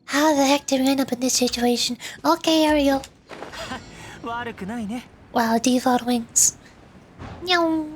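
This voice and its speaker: A woman's voice: sits high, slow, long pauses, slight background noise. A woman streamer chatting playfully to herself while playing a game. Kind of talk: casual game commentary.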